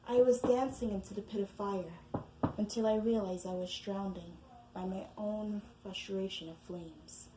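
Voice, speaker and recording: A woman performing a tormented, despairing soul in a poetic monologue, hushed, slow and brooding. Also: medium pitch, steady tone, reverberant, noisy background, lightly acted. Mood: brooding anguish.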